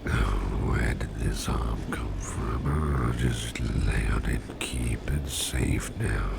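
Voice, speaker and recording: A man playing a drowsy, gluttonous, protective monster businessman in an ASMR roleplay, murmuring haltingly in a breathy, gravelly voice. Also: extremely low pitch, heavily acted. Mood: drowsy, muttering. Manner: drowsy, halting, mumbling with drawn-out words. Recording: heavy background noise.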